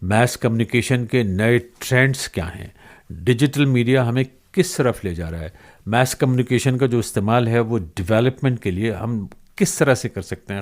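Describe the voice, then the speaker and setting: A man hosting an interview in a courteous, appreciative, conversational way. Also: lowish voice. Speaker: interview host. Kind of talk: conversational Urdu-style interview.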